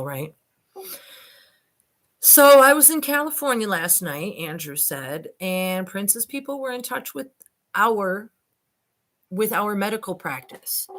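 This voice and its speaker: A woman's voice: mid-range voice, expressive, slow pace, pauses often, fairly bright tone. A woman reading a transcript aloud and commenting informally, addressing listeners directly with skeptical asides. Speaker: online commentator and host.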